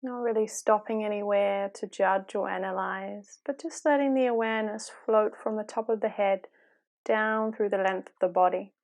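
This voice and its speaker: Woman doing guided meditation, mid pitch, calm n gentle, takes her time, lots of pauses. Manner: calm, unhurried, softly instructive with long pauses. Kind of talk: guided body-scan meditation, slow gentle instruction.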